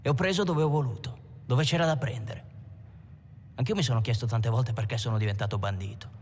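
An actor playing a Milanese bandit who looks back on his criminal past in a deliberate, confessional film monologue. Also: mid-range voice, darkish tone, long reverb tail, slight background noise, natural voice. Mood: reflective, defiant. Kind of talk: dramatic monologue in a docudrama film.

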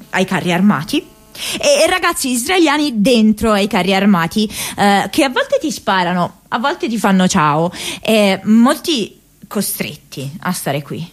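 A woman's voice: medium pitch, natural voice. A woman playing an earnest, troubled young American activist in a radio theatre diary monologue, hesitant and searching for words. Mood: troubled, intense.

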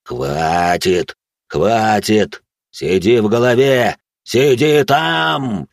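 A man's voice: deep voice, slow pace, dry recording, clean studio sound, exaggerated voice. A man voicing a huge, raging monster, tormented and impatient, with a growling, straining, groaning delivery. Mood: tormented rage. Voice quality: growling, wheezy.

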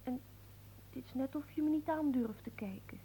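Young peasant sweetheart, high-pitched, naive, doting, quick-tempered, theatrical, radio play, faint hum. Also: female voice, warm tone, poor audio. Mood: tender, dreamy. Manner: theatrical, emotional.

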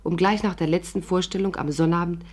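A woman's voice: fairly low pitch, steady tone, fairly quick, a little mellow, close to an everyday voice. A woman playing a wry, hard-up stage actress who narrates her adventure in a 1950s German radio drama. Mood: wry, confiding.

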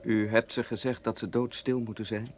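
An actor playing a cautious rescuer plotting an escape in a radio drama. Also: male voice, on the low side, level tone, normal speed, slight background noise, lightly acted. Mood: wary. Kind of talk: Dutch radio drama acting.

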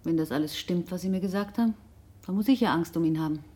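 A woman's voice: on the low side, fast, dark tone, breathy edge. Sardonic, independent woman in radio drama. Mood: cool, dismissive. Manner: talkative, ironic, pointed. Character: self-assured, sardonic, independent older girlfriend of a runaway man. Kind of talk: naturalistic radio drama acting.

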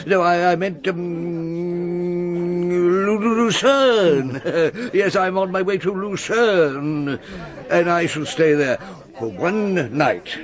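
Radio drama: gentle, forgetful old clergyman, hesitant, halting, unhurried delivery. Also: a little high. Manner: hesitant, dithering, stammering. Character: absent-minded elderly male clergyman, gentle and muddled. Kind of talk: BBC radio drama acting.

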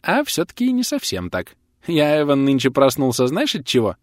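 A man's voice: sits high, wildly swooping pitch, normal speed, dry recording, clean studio sound, voice pushed up. A man voicing a chatty, cheeky old male patient who boasts in sly, folksy speech for an audiobook. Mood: sly, triumphant.

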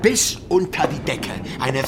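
Swaggering pirate captain, wildly animated. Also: male voice, a little high, measured pace, noisy background. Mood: relishing, carefree. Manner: commanding, theatrical. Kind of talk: German radio drama acting. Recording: studio radio drama with loud music.

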